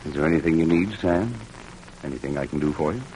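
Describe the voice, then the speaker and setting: An actor playing a fatherly, sorrowful army chaplain, soft-spoken and solemn, in old-time radio drama style. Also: rumbling low, fast. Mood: sorrowful, gentle. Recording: noisy vintage radio drama recording.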